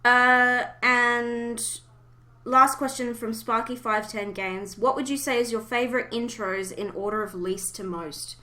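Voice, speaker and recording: A woman's voice: fairly high pitch, steady tone, a little slow, bright, forceful tone. A woman hosting a casual fan podcast, chatting informally and giving blunt opinions. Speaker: podcast host.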